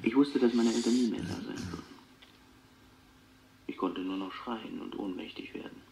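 An actor playing a clairvoyant who recalls his childhood in a measured, reflective way on a taped radio drama interview. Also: lowish voice, vocal fry, darkish tone. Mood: somber, haunted. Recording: dull tape recording with faint noise.

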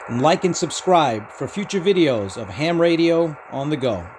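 A man's voice: on the high side, animated, moderate pace, darkish tone, slight background noise. A man hosting a hobby channel, narrating casually to viewers. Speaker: host of a ham radio channel.

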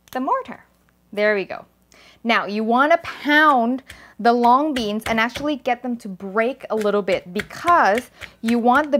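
A woman in her thirties from Thailand hosts a cooking show, chatting warmly to viewers as she pounds a salad in a home kitchen. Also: on the high side. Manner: chatty and upbeat, talks to viewers while pounding in a mortar. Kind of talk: cooking demonstration.

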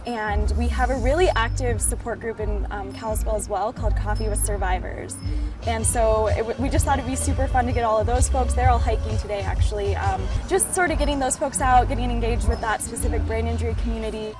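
A woman's voice: fairly high pitch, brisk. A woman speaking casually and upbeat in an interview as a nonprofit spokesperson. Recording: distant mic, music, vehicle noise behind.

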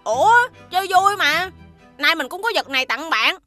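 A woman's voice: extremely high pitch, expressive, normal speed, bright, forceful tone, soft background music, heavily acted. A woman with a southern Vietnamese accent voicing a mischievous, defensive little schoolboy in a children's cartoon. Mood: playful.

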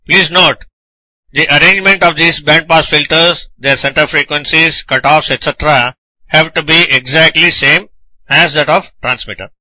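A man narrating a scripted technical lecture in a deliberate, didactic manner, explaining things in short phrases. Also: on the high side, steady tone, bright, forceful tone, dry recording, poor audio.

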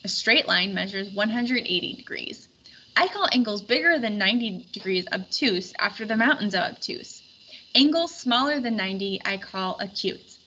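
A woman's voice: medium pitch, animated, normal speed, sharp tone, lo-fi sound, slight background noise. A woman voicing an earnest, anxious young male squire in a storybook read-aloud. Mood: earnest explaining.

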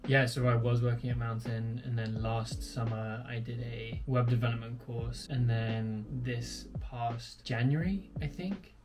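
A man's voice: medium pitch, steady tone, measured pace, few pauses, over music. A man in his mid twenties answering questions as a guest, laid-back and casual, with lots of filler words, recorded on a fixed camera in a bedroom. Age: 20s. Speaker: guest, partner. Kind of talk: casual chat. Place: bedroom at home.

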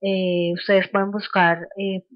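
A woman's voice: mid-range voice, little pitch movement, no room sound. Woman trainer, dull lo-fi audio. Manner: halting, explanatory, with rhetorical check-in questions. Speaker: sales trainer. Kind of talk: informal training webinar over slides. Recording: dull, lo-fi online call audio.

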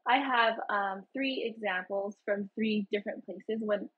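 A woman's voice: medium pitch, a little slow, slightly rough. A woman from Hawaii, a co-host in a casual panel chat over a video call, telling stories earnestly. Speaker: podcast co-host. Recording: muffled lo-fi call audio.

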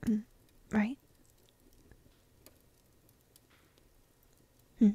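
A woman voicing a petite, playful, secretly affectionate dragon princess in a soft, breathy ASMR roleplay, teasing slowly and often slipping into whispers close to the mic. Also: no echo, natural voice. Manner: slow, teasing, intimate delivery with pauses. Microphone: close microphone in a dry room.

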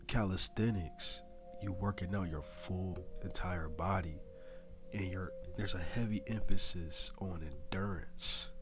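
Deep-voiced man giving casual fitness pep talk. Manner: casual slangy coaching, addresses viewers directly. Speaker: fitness vlogger. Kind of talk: motivational voice-over.

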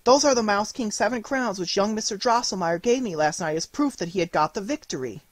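A reader voicing Marie, an earnest, excitable young girl who pleads to be believed. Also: mid-range voice, a little fast, no echo, pitched above the performer's own voice, lightly acted. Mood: eager. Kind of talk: audiobook character reading.

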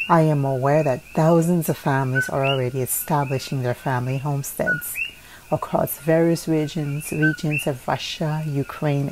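Woman, low voice, solemn measured read of a declaration; noisy home recording. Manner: solemn, measured reading with occasional slips and restarts. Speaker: narrator reading a declaration. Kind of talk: earnest read-aloud declaration.

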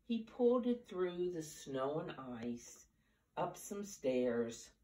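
A woman in her seventies reads a children's story with a low voice and unhurried pace. She leans on words with drawn-out emphasis for small listeners. The sound is roomy, picked up by a camera a few feet away in a living room. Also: big pitch swings, warm tone. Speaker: storyteller reading to preschoolers. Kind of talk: expressive picture-book read-aloud. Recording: roomy, distant camera microphone.

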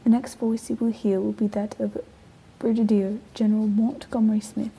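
A woman playing a shaken studio news announcer in a radio play, grave and halting, with a breathy, husky voice. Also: mid-range voice, little pitch movement, dark tone, rough recording.

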